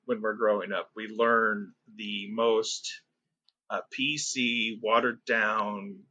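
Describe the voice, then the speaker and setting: A man from the United States hosting a casual podcast interview, upbeat and chatty, quick to laugh. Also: fairly low pitch, fairly even intonation, bright, forceful tone, dry recording, dull audio. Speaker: podcast host.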